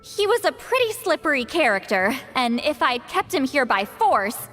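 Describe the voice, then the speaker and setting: A woman's voice: high voice, very animated, moderate pace, fairly bright tone, big-room sound, faint music behind. A woman voicing a proud, offhand young sorceress who talks in a breezy, matter-of-fact way, in video game dialogue. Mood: explaining.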